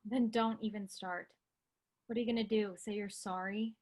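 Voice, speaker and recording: A woman's voice: mid-range voice, fairly even intonation, moderate pace, a little mellow, natural voice. An actress playing a headstrong, wry young woman in a staged play reading. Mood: wry, resolute.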